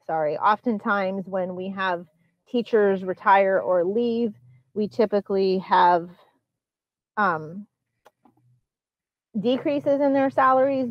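A woman presenting a school budget at a public hearing, explaining line items and figures in a measured, explanatory way. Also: mid-range voice, no echo, lo-fi sound. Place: public meeting.

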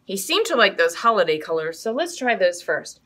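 An American woman, likely in her thirties, hosts children's storytime indoors with an expressive, sing-song delivery, speaking to young children. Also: medium pitch, moderate pace. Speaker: children's library storytime host. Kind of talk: children's storytime, interactive games and picture book reading. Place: small indoor room hung with fabric drapes.

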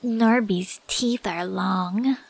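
A woman voicing a smitten, flirtatious female cartoon beaver in a sultry, drawn-out way. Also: mid-range voice, vocal fry, slightly rough, full character voice.

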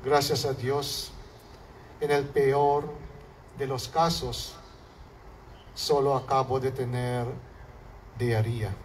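A man with a Filipino accent whose first language is Hiligaynon, a priest giving a homily at an outdoor altar in slow, measured phrases that fall at the ends. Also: mid-range voice, flat delivery. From Philippines. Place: outdoor altar under a canopy. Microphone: far camera, mic at lectern. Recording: distant outdoor recording with faint background noise.

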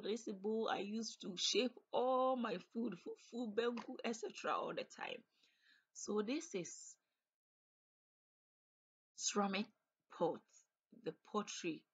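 A woman's voice: mid-range voice, breathy edge. A woman chatting casually as she cooks in her home kitchen, explaining each step as she goes. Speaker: cooking vlogger. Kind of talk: casual cook-along narration.

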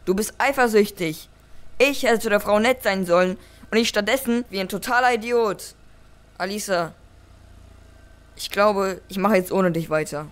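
A woman's voice: mid-range voice, moderate pace, long pauses, close to an everyday voice. A woman playing an earnest, impulsive male taxi driver in an amateur audio play. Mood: accusing.

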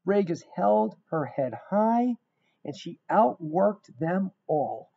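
A middle-aged man reading a rhyming picture book aloud in an expressive, emphatic storytelling manner. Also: high voice, slow pace, gravelly. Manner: emphatic, dramatic storytelling with repeated phrases. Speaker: guest reader of a children's book. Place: small indoor room. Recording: dull, lo-fi webcam sound.

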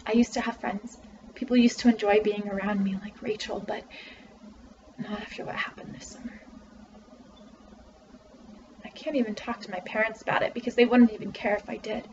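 A woman playing a frightened, withdrawn teenage girl in a quiet, halting dramatic monologue from a novel. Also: medium pitch, little pitch movement, recorded from a distance, muffled recording, slight background noise, lightly acted. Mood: scared, dejected. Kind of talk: character monologue.

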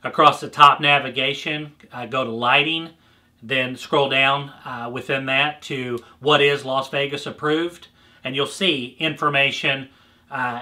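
Casting: American man, middle-aged, conversational product presenter with a markedly sharp, bright, average-pitched delivery. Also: steady tone, a little slow. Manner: explanatory, conversational. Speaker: presenter for a trade show supplier. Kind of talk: informal product explainer to camera. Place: indoor display booth set, standing behind a counter.

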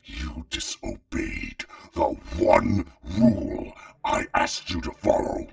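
A man playing a stern, menacing male dragon father in an audio drama, with a gravelly growl and cold, contemptuous delivery. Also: deep voice, big pitch swings, dry recording, clean studio sound, distant mic, exaggerated voice. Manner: gratingly slow, cold, contemptuous.

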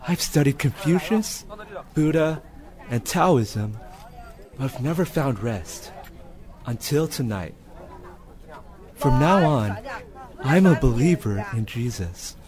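An actor playing an earnest Chinese seeker of truth in an audio drama, solemn and heartfelt. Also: male voice, medium pitch, expressive, slow, long pauses, crowd noise. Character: earnest Chinese man, seeker turned joyful new believer. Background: music.

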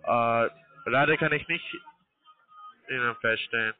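A man's voice: mid-range voice, unhurried, dry recording. Foreign-accented man on a dry phone line. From Israel. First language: not German. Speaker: call centre agent. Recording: narrow phone line, music.

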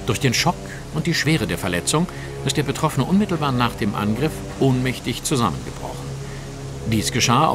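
A man plays a brilliant Victorian detective in an audio drama. The voice is on the low side and very animated, analytical and commanding, with music behind it. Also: lightly acted. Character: brilliant, brisk, self-assured male Victorian detective. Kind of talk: German audio drama acting.

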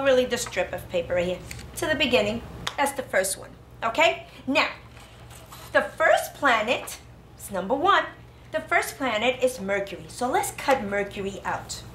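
Preschool teacher, female, high-pitched, sing-song craft instruction for little kids, roomy classroom sound with slight noise. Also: animated, normal speed, a bit bright. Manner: sing-song, child-directed, exclamatory. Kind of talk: instructional craft lesson for young children. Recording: roomy classroom echo, faint background noise.

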